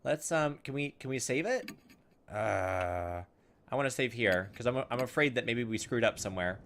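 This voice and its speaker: A man's voice: medium pitch, expressive, normal speed, a bit bright, slight background noise. A man hosting a gaming video, reading on-screen story text aloud dramatically and breaking in with playful asides. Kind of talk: let's play commentary, reading game text aloud.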